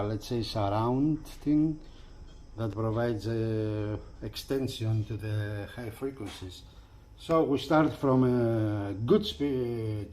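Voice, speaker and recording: A man giving a casual, unscripted product walkthrough as a reviewer, with halting delivery and repeated phrases. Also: mid-range voice, dark tone.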